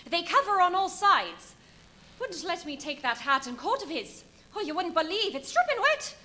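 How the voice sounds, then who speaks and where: A woman's voice: very high-pitched, sing-song, moderate pace, bright, forceful tone, heavily acted. A woman playing a chatty, bossy village innkeeper's wife in a live radio play, fussy, gossipy and full of exclamations. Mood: gossipy, flustered. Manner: gossipy, exclamatory, deferential to guests, scolding the maid.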